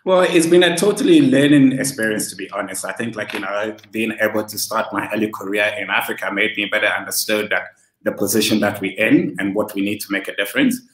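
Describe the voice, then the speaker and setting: A man in his thirties from Ghana, a relaxed interview guest who talks with many fillers. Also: lowish voice, fairly quick. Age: 30s. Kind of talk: casual interview over a video call. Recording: roomy echo.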